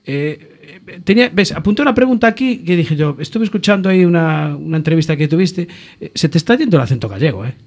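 A man hosts a casual radio show. He talks in a slightly high voice, teasing his guests. He is recorded in a studio. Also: lively intonation, fast, a little mellow. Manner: jokey, chatty, laughing with guests.

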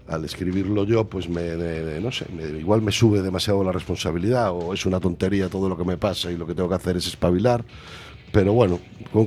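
Very deep-voiced Galician man, hesitant. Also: darkish tone, a little hiss or hum. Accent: Galician Spanish. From Galicia, Spain. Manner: hesitant, self-deprecating, searching for words. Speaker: musician guest. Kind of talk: casual interview chat.

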